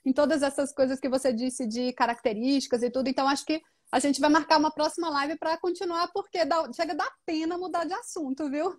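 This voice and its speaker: A woman's voice: sits high, steady tone, fast, hardly any pauses. A woman teacher hosting a live interview, praising her guest and encouraging her to speak freely. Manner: effusive and encouraging toward the guest. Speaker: host and language teacher.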